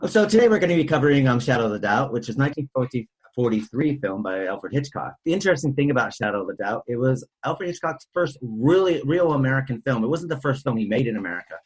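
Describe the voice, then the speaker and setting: Male podcast host, husky mid-pitched voice, casual and chatty film discussion, informative and loose. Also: very animated, fast, few pauses, low-quality recording.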